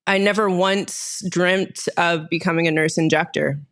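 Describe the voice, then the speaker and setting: A woman in her thirties from Texas telling her story casually as an interview guest, chatty and quick to laugh. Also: on the low side, steady tone. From Austin, Texas, United States. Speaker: podcast guest, nurse injector.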